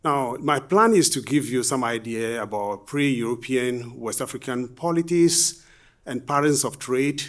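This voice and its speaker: A man from Ghana giving a conference lecture, speaking in a measured way with frequent fillers. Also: mid-range voice, fairly bright tone. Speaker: guest speaker. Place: conference hall.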